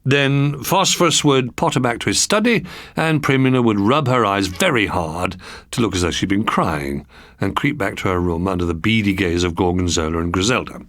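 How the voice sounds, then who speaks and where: Expressive Englishman reading a children's story. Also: lowish voice, normal speed, no room sound, studio quality. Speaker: storybook reader. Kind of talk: scripted children's story narration.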